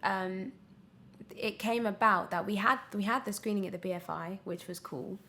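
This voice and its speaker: A young British woman talks casually as an interview guest. Her voice sits at a medium pitch, and she speaks with emphasis, often interrupting herself mid-thought. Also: moderate pace, sharp tone. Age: 20s. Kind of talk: casual podcast interview chat.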